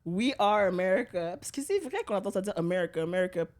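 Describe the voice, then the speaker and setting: A woman's voice: on the low side, expressive, fast, a little mellow. Breezy woman, slangy chatter. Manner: breezy, slangy, mixes French and English. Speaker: podcast co-host. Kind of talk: casual chat.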